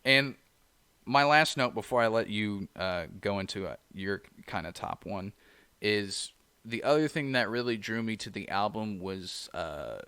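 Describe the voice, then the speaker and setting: A man with a low, smooth, resonant voice talks in a loose, rambling way, leaning on "you know" between thoughts. His tone is bright and forward. The sound is dry and close, with no room echo. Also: expressive, a little slow. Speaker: podcast co-host. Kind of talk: casual chat.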